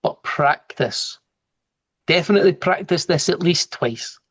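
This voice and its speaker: A man with a broad Glasgow accent, a trainer giving a relaxed, informal live online lesson over a video call and talking through each step as he works. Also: fairly high pitch, unhurried, breathy edge. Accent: Glasgow Scottish. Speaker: software trainer. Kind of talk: live online training, explaining and answering questions.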